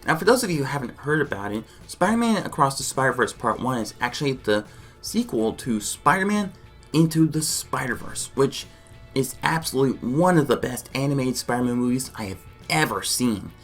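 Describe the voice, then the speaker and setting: A man hosting an enthusiastic reaction and discussion vlog, exclaiming often and repeating himself. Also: medium pitch. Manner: excitable, exclaiming, repetitive. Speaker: YouTube reaction host.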